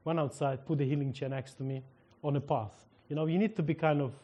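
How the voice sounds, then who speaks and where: A minister with a Ukrainian accent shares a testimony from the pulpit. His voice sits a little high. He tells it like a lively story, acting out each exchange and often breaking into laughter. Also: brisk, lo-fi sound.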